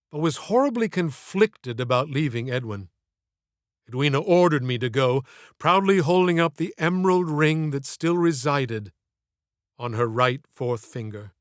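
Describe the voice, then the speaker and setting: A man's voice: on the high side, clean tone, no room sound, studio quality. A man reading scripted audiobook narration in an earnest, literary, theatrical manner.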